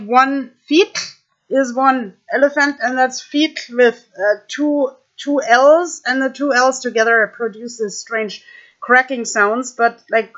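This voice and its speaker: A young woman with a German accent, speaking English to her webcam in a halting, thoughtful way with many fillers. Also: fairly high pitch, a bit bright. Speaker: vlogger. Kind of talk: casual talk to camera. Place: small room indoors. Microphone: webcam at desk. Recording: dull lo-fi webcam audio.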